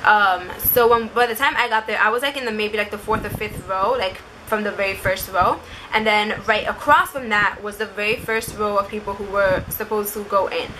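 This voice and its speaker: A woman's voice: medium pitch, normal speed, sharp tone, slight background noise, poor audio. A young woman telling a story and giving advice in a casual, chatty vlog style, saying "like" constantly. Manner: chatty, informal, uses "like" constantly. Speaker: vlogger.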